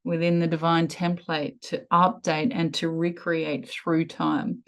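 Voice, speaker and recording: A woman leading a guided meditation in a soothing, measured way with lingering pauses. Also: deep voice, little pitch movement.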